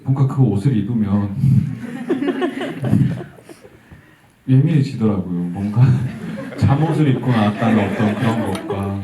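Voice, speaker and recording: A male actor answering an audience question, thoughtful and halting, with soft laughs. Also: lowish voice, very animated, background noise. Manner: reflective, halting, laughs softly. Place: event hall. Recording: tinny, distant sound in a roomy hall.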